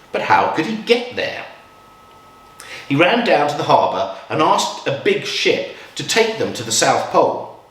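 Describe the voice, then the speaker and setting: A man reading a picture book aloud to children in a measured, expressive storytelling style with dramatic pauses. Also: a little high. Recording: roomy echo, distant from mic.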